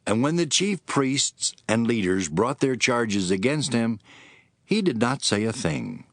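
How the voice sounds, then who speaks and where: A man's voice: mid-range voice, big pitch swings, unhurried, no room sound, studio quality. A man narrating scripture as a scripted dramatic reading.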